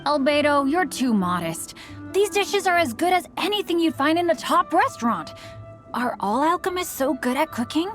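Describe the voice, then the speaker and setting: An earnest teenage boy adventurer in a video game. The boyish voice is fairly high and animated, good-natured in manner, with clear music behind. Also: normal speed. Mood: enthusiastic. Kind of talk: video game character acting.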